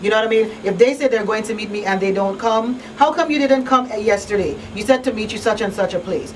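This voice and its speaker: Woman, talk show panelist, conversational, emphatic, mid-range pitch, distant mic, light room noise. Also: quick pace.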